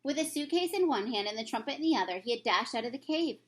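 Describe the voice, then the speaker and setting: A woman's voice: a little high, animated, fast talker. A woman reading a children's storybook aloud as a teacher would, with occasional stumbles.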